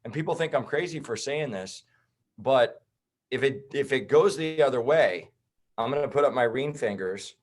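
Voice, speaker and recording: A man in his thirties talks on a podcast as a guest. He is blunt and heated, and his voice sits at an ordinary middle pitch. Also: animated, moderate pace. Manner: blunt, heated, swears and says bro. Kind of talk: casual podcast conversation.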